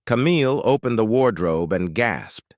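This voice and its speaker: British male bedtime narrator, lowish pitch, soothing and measured but richly inflected. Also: lively intonation, dry recording, studio quality. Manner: gentle, soothing, measured storytelling. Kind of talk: scripted fairytale narration for sleep.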